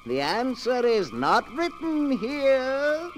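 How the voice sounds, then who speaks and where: An actor playing a sinister, cackling old keeper of a book of horror tales, theatrical and gleefully menacing in 1940s radio style. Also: male voice, very high voice, very animated, takes it slowly, over loud music, heavily acted. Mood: sly, teasing. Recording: noisy 1940s radio recording.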